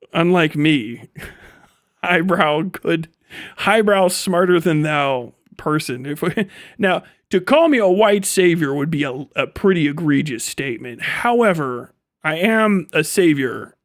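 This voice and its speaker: A man's voice: fairly high pitch, no echo, studio quality. A man giving a casual livestream rant as host, swearing freely in slangy, sarcastic talk and often laughing as he speaks.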